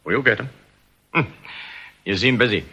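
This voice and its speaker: An actor playing a brisk, affable but suspicious credit card investigator in a British radio drama, chatty and matter-of-fact. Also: male voice, medium pitch, bright, forceful tone, husky, muffled recording.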